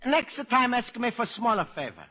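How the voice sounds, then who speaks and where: An actor playing a gentle, easily alarmed Italian immigrant shopkeeper in broken English with an Italian accent, in old-time radio comedy style. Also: male voice, very high voice, big pitch swings, moderate pace, some chatter behind, full character voice. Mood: alarmed, protesting. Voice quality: broken English with added vowels. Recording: very noisy old radio recording.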